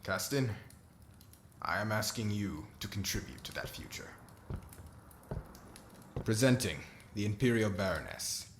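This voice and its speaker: A man playing a solemn, diplomatic king in an ASMR roleplay, speaking in a formal, measured, oratorical way. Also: lowish voice, big pitch swings, slight background noise. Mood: impassioned. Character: solemn diplomatic young king addressing subjects and friend.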